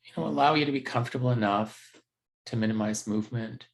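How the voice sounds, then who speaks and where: A man teaching a guided meditation in a gentle, unhurried, conversational way with halting pauses. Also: medium pitch, vocal fry.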